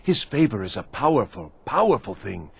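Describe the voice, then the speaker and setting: A man playing a frantic, pleading servant of a vanished mad master in a video game, with a theatrical manner that swings from pleading to hushed awe. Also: lowish voice, sing-song, normal speed, vocal fry, slightly rough, heavily acted. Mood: imploring. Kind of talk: video game character acting.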